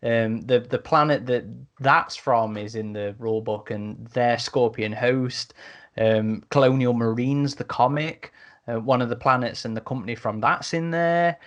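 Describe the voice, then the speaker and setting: A man in a casual podcast discussion, talking in a halting, piecemeal way. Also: medium pitch. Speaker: podcast panellist.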